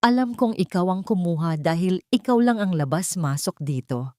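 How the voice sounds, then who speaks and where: A woman's voice: on the low side, lively intonation, moderate pace, a little mellow, no room sound, clean studio sound, lightly acted. A woman with a Filipino accent voicing a spiteful, accusing young rich woman in a dramatic story. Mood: hateful accusation. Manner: hateful, accusing.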